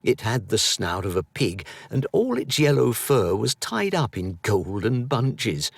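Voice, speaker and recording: Slow, animated male storyteller with gravelly depth. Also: lowish voice, no echo. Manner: dramatic, drawn-out emphasis. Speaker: audiobook narrator. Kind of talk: expressive scripted children's story narration.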